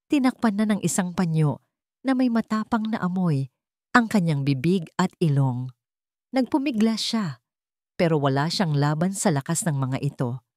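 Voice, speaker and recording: Low-voiced Filipino woman narrating dramatic fiction. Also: darkish tone, no room sound, studio quality. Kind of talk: scripted dramatic story narration.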